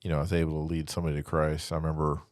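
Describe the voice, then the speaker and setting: A man's voice: extremely low pitch, completely flat delivery, rapid-fire, a little mellow, no echo, clean studio sound. A man hosting a podcast in a conversational devotional style, earnestly exhorting listeners and repeating phrases as he goes. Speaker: podcast host, church ministry speaker.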